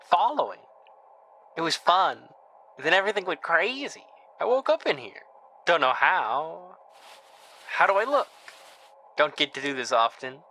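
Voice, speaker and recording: A man's voice: fairly high pitch, bright, forceful tone, heavily acted. A man voicing the ghost of a homicidal young girl inhabiting a man's body in a horror audio drama, playful, childlike and scratchy. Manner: playful, childlike, drawn-out wondering delivery.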